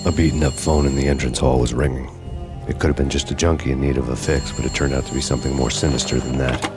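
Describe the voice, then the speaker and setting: An American actor playing a hard-boiled, cynical cop on the run in a noir video game, deadpan and world-weary. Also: male voice, a bass voice, fast. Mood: grim, brooding. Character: hard-boiled, cynical, brooding male cop turned fugitive. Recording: music behind the voice.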